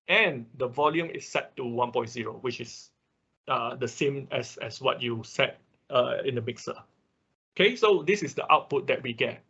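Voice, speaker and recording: A man teaching an informal online software tutorial, explaining step by step in a halting way with frequent check-in tags. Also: medium pitch, dull audio. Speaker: instructor of an online robotics class.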